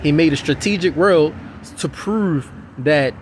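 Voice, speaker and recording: Man, fairly high voice, rambling vlog monologue, repetitive and insistent, outdoors on the street. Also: measured pace, slight background noise. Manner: repetitive, insistent, circles back to the same points. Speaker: vlogger. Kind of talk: casual rambling monologue to camera.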